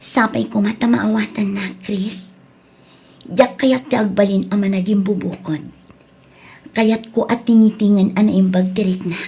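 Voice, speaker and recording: An actress playing a young student daughter in a radio drama, earnest and emotive, with a slightly husky voice and a Filipino accent. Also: female voice, medium pitch, fairly even intonation, a little slow, dark tone. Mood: gentle, sincere. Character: young student daughter, remorseful, firm about breaking up. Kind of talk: Ilocano radio drama acting.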